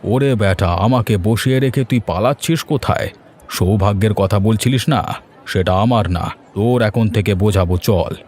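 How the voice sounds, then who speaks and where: A man's voice: on the low side, moderate pace, a little mellow, lightly acted. A man voicing a blunt, authoritative village headman in a cartoon. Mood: stern, scolding. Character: stern, bossy male village headman who loves food. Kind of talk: Bengali cartoon voice acting.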